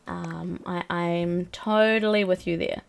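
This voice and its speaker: Slow-talking New woman with a cold. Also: fairly low pitch, fairly even intonation, creaky. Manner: rambling and chatty, full of ums and likes. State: has a cold, a bit sniffly. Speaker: live streamer. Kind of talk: casual chat while playing a video game.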